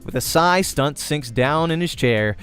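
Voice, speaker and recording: A man's voice: a little high, animated, a little slow, a bit bright. A man reading a visual novel aloud as the host of a let's play, narrating in character voice and prose. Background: music.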